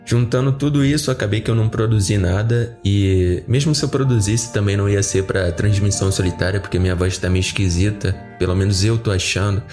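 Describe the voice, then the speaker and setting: A man's voice: fairly low pitch, brisk, hardly any pauses, darkish tone, faint music behind. A Brazilian man with a slightly off, flu-affected voice, chatting informally and in a rambling way to his listeners as a podcast host. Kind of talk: casual chat to listeners.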